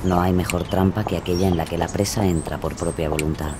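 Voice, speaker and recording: A man's voice: low-pitched, fairly even intonation, a little fast, noisy background. A man delivering scripted, dramatic true-crime narration. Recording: music bed behind narration.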